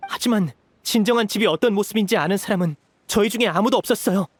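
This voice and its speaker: A man's voice: sits high, fast talker. An actor playing a brave, loyal young man in a game drama, speaking politely with impassioned sincerity. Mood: earnest pleading. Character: earnest, brave young man pleading as loyal older brother.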